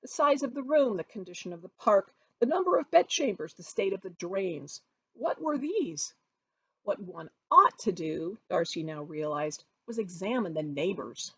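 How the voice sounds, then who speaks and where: Lively, slightly husky woman storyteller. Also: medium pitch, normal speed, no room sound, muffled recording. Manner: dramatic, emphatic phrasing. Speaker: author reading from their own novel. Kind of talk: expressive storybook narration, read aloud.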